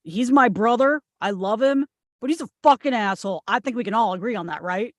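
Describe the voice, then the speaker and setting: A woman acts a crude, brash bridesmaid from a comedy script. She is blunt and emphatic, in a fairly high voice recorded close and dry. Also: fairly quick, dry recording, lightly acted. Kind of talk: screenplay table read.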